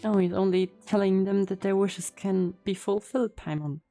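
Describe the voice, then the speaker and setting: A woman's voice: medium pitch, fairly even intonation, normal speed, mellow, soft background music. A woman streamer giving casual gameplay reactions, informal and joking as she thinks aloud. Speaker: game streamer.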